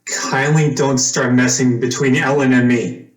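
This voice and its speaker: A man's voice: mid-range voice, steady tone, a little slow, lightly acted. A man playing a bitter, wronged young man in an old-time radio drama, with a curt, resentful delivery. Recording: roomy, echoing recording.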